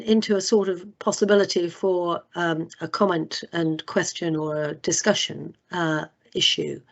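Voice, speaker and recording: A woman's voice: fairly low pitch, gravelly. A woman who is losing her voice, making a thoughtful, long-winded suggestion in an online meeting. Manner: thoughtful, long winding sentences. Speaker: meeting attendee. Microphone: video call. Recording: muffled video call audio.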